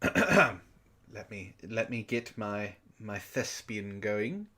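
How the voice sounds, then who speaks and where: A man's voice: on the low side, big pitch swings, very slow, sharp tone. A man bantering in a comedic book club chat, theatrical and mock-pompous, easily worked up. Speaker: book club co-host and reader.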